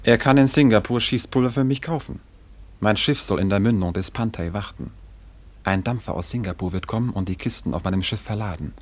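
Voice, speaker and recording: An actor playing a wary ship's captain in a radio drama, speaking formally and deliberately. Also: male voice, on the low side. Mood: wary, doubtful. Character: wary male ship's captain weighing a deal.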